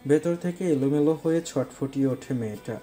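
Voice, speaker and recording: A man's voice: fairly high pitch, fairly quick, mellow, soft background music. A man narrating a scripted romantic story as its storyteller.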